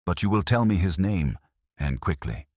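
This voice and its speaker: A shrewd, commanding detective read by a male narrator speaks in a very low, husky voice. His phrases are clipped and precise, with lively swings of pitch, as in a mystery audiobook. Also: normal speed, no echo, studio quality. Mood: sharp, commanding.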